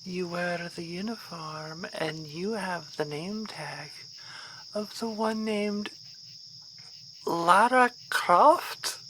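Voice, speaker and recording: Woman playing a slow-spoken, haughty vampire baroness. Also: on the low side, background noise. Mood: coolly probing. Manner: formal, courtly, measured wording. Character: refined, haughty vampire baroness, courteous and knowing. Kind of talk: ASMR roleplay acting.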